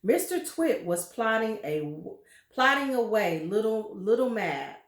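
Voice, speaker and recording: A woman reading a children's story aloud with deliberate, dramatic emphasis and brief asides to her listeners. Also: mid-range voice, sing-song, reverberant.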